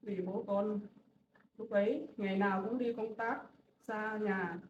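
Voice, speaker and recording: A woman's voice: medium pitch, fairly even intonation, unhurried, distant mic, a little hiss or hum, natural voice. A woman playing a remorseful mother. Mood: regretful. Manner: tender, softly pleading. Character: remorseful mother reunited with grown son. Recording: echoey, dull, from afar.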